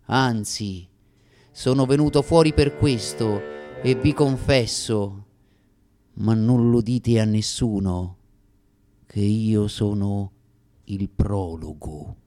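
A man's voice: high voice, darkish tone. A man with a Roman Italian accent playing a self-mocking, plaintive stage prologue in a declamatory comic monologue for radio theatre. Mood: mock solemn. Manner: declamatory, with drawn-out pauses and sudden hurried bursts.

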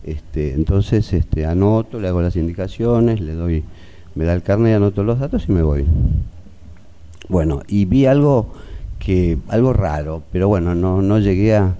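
A middle-aged or older man with an Argentine accent giving halting spoken testimony as a pediatrician witness, searching for details. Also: deep voice, animated, dark tone.

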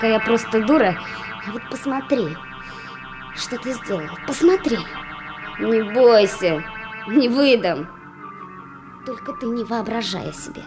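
A woman acts a wounded, hot-tempered schoolgirl in a Soviet radio play for children. The voice is high, scornful and commanding, with music clearly playing behind it. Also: lightly acted.

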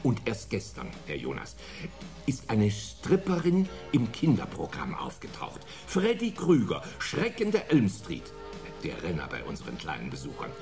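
An actor playing a formal, harried security chief in a German radio play, earnest, indignant and emphatic. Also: male voice, fairly low pitch, huge pitch swings, moderate pace, a little mellow, soft background music. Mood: earnest, aggrieved.

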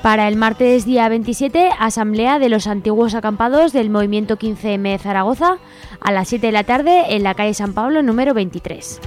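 A woman's voice: fairly high pitch, normal speed. A woman with an accent from Spain presenting a radio events listing, read out as announcements. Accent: Spanish from Spain. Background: music bed.

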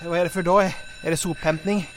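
A man's voice: high-pitched, fairly even intonation, slight background noise, natural voice. A man playing an eager, chatty male sidekick in a resistance band. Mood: hurried.

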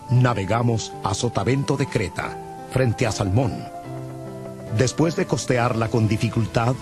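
A man narrating scripture as a scripted audio Bible, in a measured, solemn manner. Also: fairly low pitch. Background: music.

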